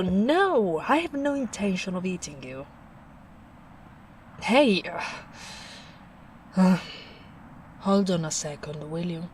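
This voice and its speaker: A big, gentle ogress, played by a young woman in a soft-spoken ASMR roleplay speaks in a low, slightly deep voice. She talks very slowly and soothingly, with a caring, protective warmth. Now and then she drops to breathy, near-whispered asides. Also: slight background noise. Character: gentle towering female ogre, caring and protective.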